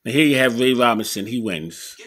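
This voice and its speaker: A man giving a casual show-and-tell commentary as an enthusiastic collector, halting a little as he reads old clippings aloud. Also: mid-range voice, lively intonation, fairly bright tone. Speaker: boxing memorabilia collector showing his scrapbooks on video.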